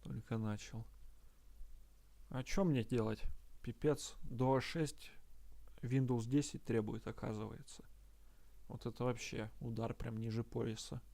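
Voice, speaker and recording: A man streaming a game live, casual and joking, with rough language. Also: mid-range voice, fairly even intonation, measured pace, warm tone.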